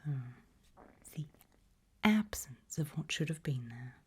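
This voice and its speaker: A woman speaks in a very breathy whisper, pitched low. She takes her time with each phrase in an unhurried ASMR role-play. Her delivery is measured and quietly ominous, addressed directly to the listener. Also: animated. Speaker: ASMR host playing a fear-test examiner.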